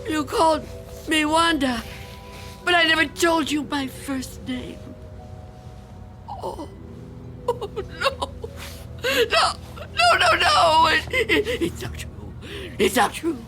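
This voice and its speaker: An actress playing a frightened, fragile old woman in a radio drama, tremulous, pleading and halting. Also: very high voice, very animated, over music, exaggerated voice. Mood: distraught. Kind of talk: dramatic radio play acting.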